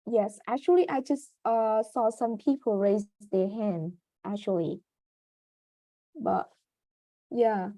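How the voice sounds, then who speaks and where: Woman, webinar moderator, middle pitch, dry close sound. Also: takes it slowly, long pauses, mellow, no echo. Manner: polite and procedural, with some hesitant phrasing. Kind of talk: hosting a webinar Q&A.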